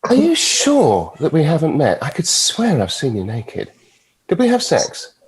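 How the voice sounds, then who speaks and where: Husky, animated, suave British devil. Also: male voice, a little high, measured pace, exaggerated voice, rough recording. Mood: flirtatious. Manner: teasing, flippant, debonair. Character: suave, mischievous, immortal devil running a nightclub. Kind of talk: table read of a TV drama.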